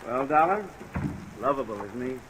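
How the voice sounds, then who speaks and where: An actor playing a world-weary American police lieutenant with a casual, clipped manner in a 1950s radio crime drama. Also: male voice, mid-range voice, animated, normal speed, natural voice. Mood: offhand. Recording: noisy old radio transcription.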